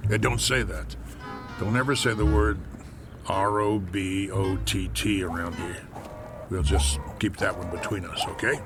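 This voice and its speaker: An actor playing a gruff, shrewd baseball manager with an American accent and a sarcastic, slangy, blustery delivery in a radio drama. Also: male voice, low-pitched, steady tone, measured pace. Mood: shrewd, patronizing. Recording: music behind the voice.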